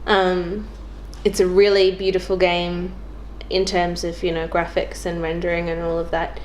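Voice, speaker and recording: A woman's voice: on the low side, level tone, moderate pace. A young woman chatting casually about video games as a guest on a show. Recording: clearly noisy background.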